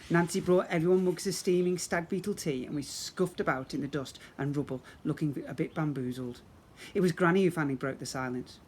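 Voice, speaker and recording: A man's voice: a little high, brisk. Man reading a children's storybook expressively. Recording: faint background noise.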